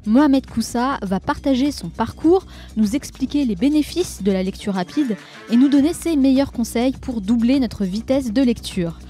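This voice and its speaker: A woman's voice: fairly high pitch, expressive, normal speed, dark tone, soft background music. A woman hosting a podcast, reading an upbeat motivational intro and then interviewing her guest on a close mic. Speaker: podcast host.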